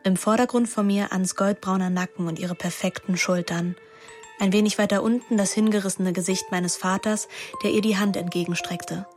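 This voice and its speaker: A woman's voice: medium pitch, steady tone, moderate pace, darkish tone, natural voice. Young woman narrating a radio drama over music, acted. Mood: reflective. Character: young daughter narrating, observant, defiant. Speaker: actress narrating and playing the daughter.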